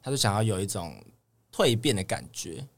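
A man's voice: on the low side, big pitch swings, normal speed. A man, a young pop singer answering casually as a podcast guest. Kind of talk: casual interview chat.

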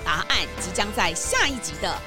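A woman as the animated, suspenseful narrator of a children's mystery story, reading scripted narration. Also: lowish voice, moderate pace. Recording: music behind the voice.

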